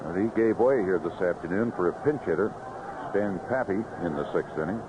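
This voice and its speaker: Male American baseball play-by-play voice, lively inflection, measured clipped descriptions, vintage radio recording with clear background noise. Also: sits low, lo-fi sound. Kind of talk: live radio sports commentary. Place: outdoor ballpark broadcast booth. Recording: noisy vintage 1970s AM radio broadcast.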